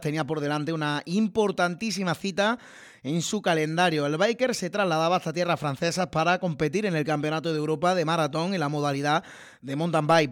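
A man hosting a local radio sports show, friendly and conversational, often tagging questions onto his sentences. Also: on the high side.

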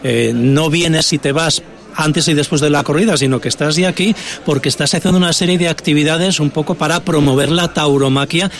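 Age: adult. Sex: man. Role: chatty radio host. Pitch: fairly high. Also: a little fast. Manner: chatty, informal. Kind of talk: live radio interview. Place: fair broadcast booth.

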